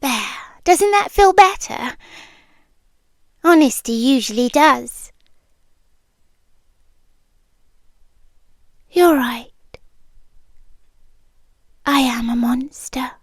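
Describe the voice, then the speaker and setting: A woman's voice: sits high, takes it slowly, long pauses, no room sound, studio quality, exaggerated voice. A British woman playing an ancient, possessive, lovelorn forest monster in an intimate ASMR roleplay, drifting into whispers. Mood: brooding, menacing. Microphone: close microphone for headphones.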